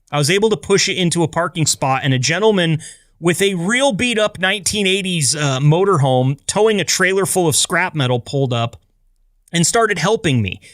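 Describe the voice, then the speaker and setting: A man's voice: fairly high pitch, a bit bright, no echo, clean studio sound. A man co-hosting a podcast, telling stories in a casual, chatty way with a sense of amazement. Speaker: podcast co-host. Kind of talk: casual conversational storytelling.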